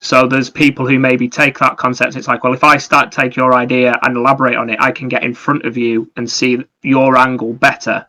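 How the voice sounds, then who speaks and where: A man's voice: medium pitch, little pitch movement, brisk, hardly any pauses, sharp tone, rough recording. A man co-hosting a podcast in casual discussion, chipping in and talking through ideas. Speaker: podcast co-host.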